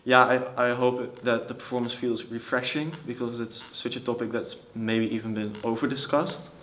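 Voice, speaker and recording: A man's voice: mid-range voice, flat delivery, moderate pace, a bit bright, a little hiss or hum. A man answering in an interview about his work. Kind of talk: interview talk.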